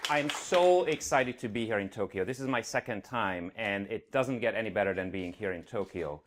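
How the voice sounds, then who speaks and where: A middle-aged man from Hungary with a slight Hungarian accent, giving an earnest conference talk with frequent fillers. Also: fairly low pitch. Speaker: conference speaker. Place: conference venue stage.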